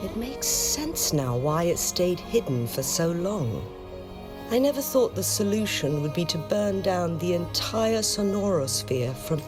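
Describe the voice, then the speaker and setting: A woman voicing a composed, caring, serious adventurer's companion in a video game, calm and matter-of-fact. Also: fairly low pitch, a little slow, music in the background. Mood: calm, concerned.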